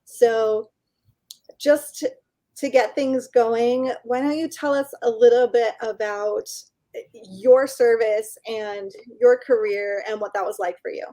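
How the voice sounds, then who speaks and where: A woman hosting an interview, soft-spoken and gently prompting her guest. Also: a little high, steady tone, slow. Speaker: interview host. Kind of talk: conversational interview.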